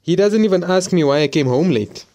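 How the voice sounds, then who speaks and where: A man's voice: high voice, expressive, fairly quick, dark tone. A young man playing a remorseful madrasa student who speaks sheepishly and apologetically. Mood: hurt. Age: young adult. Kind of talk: audio drama acting.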